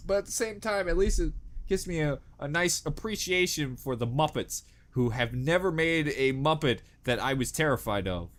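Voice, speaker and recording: A man's voice: fairly high pitch, expressive, moderate pace, fairly bright tone. A man giving casual, rambling reaction commentary with jokey, crude humour and exaggerated dread. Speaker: reaction video host.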